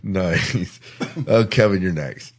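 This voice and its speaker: A man hosting a podcast in casual chat, with a jovial, easygoing way of talking. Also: deep voice. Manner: jovial, quick to laugh. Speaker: podcast host.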